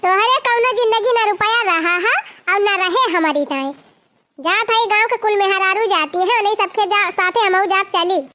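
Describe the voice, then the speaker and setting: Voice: very high voice, normal speed, sharp tone. A performer voicing a nagging, determined village wife in a comedy cartoon, scolding and complaining with an Awadhi accent. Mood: scolding, exasperated.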